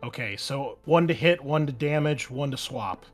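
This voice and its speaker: A man's voice: mid-range voice, lively intonation, moderate pace. A man acting as game master, narrating scenes and explaining rules in a tabletop roleplaying game. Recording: background music under the voice. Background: music.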